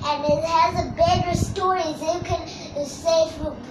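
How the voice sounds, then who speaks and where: A young child hosting a casual chat, speaking in simple, halting, childlike phrases. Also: very high voice, fairly even intonation. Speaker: child host. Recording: roomy, distant mic, clear background noise.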